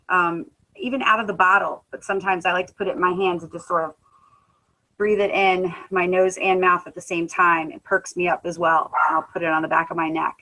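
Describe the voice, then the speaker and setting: A woman who sings in a band, giving a conversational personal testimony at a wellness talk, earnest, with many filler pauses. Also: on the low side, sharp tone, poor audio. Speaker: guest speaker, musician.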